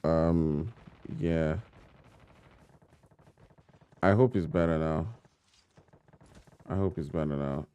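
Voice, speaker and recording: A man's voice: rumbling low, takes it slowly, pauses often, clear, resonant tone. A man casting a live mobile shooter tournament, talking to viewers in long running phrases with chatty asides. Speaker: esports caster. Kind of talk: live play-by-play commentary. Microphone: home streaming mic. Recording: live stream with loud game gunfire behind. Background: game gunfire and clicks.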